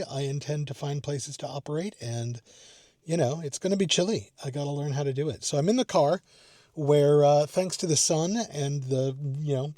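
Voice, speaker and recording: A man with a slightly higher-pitched voice and a relaxed, mellow tone talks off the cuff to his vlog. He rambles, thinks aloud and pokes fun at himself. The sound is very dry, recorded inside a parked car. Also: no echo. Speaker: amateur radio vlogger. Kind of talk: casual unscripted vlog talk.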